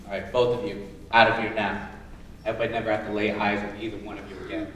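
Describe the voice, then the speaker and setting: Distant in a very echoey room, a male actor plays a scoffing, contemptuous jail guard in a staged reading, brusque and impatient. His pitch sits in a middle male range, with faint noise around it. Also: flat delivery, fast, a bit bright, lightly acted.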